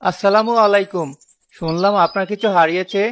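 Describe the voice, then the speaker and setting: A man's voice: high-pitched, fairly even intonation, a little fast, fairly bright tone. A man voicing a poor, honest porter in a cartoon moral tale, earnest and plaintive. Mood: earnest, humble. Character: poor honest male porter, proud, wronged, humble. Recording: music behind the voice.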